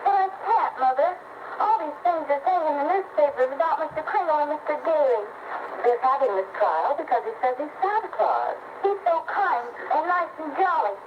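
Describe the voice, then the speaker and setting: A woman's voice: high-pitched, lively intonation, moderate pace. A woman playing an earnest, trusting little child in a 1950s radio drama. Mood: earnest, concerned. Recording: muffled, noisy 1950s radio recording.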